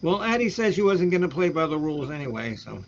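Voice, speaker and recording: Brief: male quiz host, playful and teasing, slightly high voice, muffled streamed sound with a faint hiss. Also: very animated. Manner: teasing, playful, quick asides. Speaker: host of a music quiz show. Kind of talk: casual live-stream banter.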